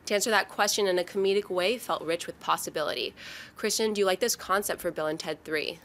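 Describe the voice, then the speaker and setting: A woman's voice: on the low side, quick pace, fairly bright tone. Woman presenting entertainment news. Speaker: show host. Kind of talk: presenting movie news, reading out quotes.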